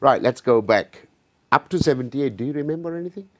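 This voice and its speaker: A man hosting an interview, firing brisk questions and mixing two languages. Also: mid-range voice, lively intonation, dry recording. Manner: brisk questioning, mixes Bengali and English. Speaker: host.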